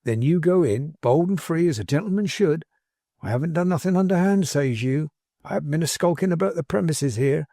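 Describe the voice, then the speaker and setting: A man's voice: on the high side, animated, a little fast, warm tone, no echo, voice pushed up. Storybook role read by a man: plain-spoken working-class servant lad, loyal and fervent, punchy emphasis, dry studio sound. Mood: coaxing, encouraging. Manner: emphatic, colloquial, ungrammatical, insistent. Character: cheery working-class young manservant, loyal, bold, scornful orator. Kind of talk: dramatised audiobook character dialogue.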